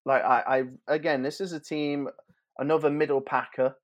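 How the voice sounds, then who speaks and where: A man's voice: fairly high pitch, fairly even intonation, normal speed, dull audio. A man in his thirties chatting informally and a little hesitantly as a podcast host previewing sport. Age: 30s. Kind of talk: casual football preview chat.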